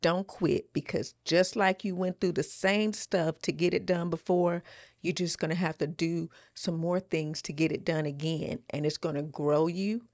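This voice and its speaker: A woman's voice: lowish voice, steady tone, moderate pace, a little mellow, no echo, studio quality. A woman co-hosting a podcast, giving an earnest, encouraging motivational talk. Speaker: podcast co-host.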